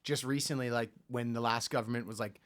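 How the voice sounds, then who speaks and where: A man's voice: medium pitch, fairly even intonation, fairly quick. A man co-hosting a podcast, laid-back and swearing casually as he chats. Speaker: podcast co-host.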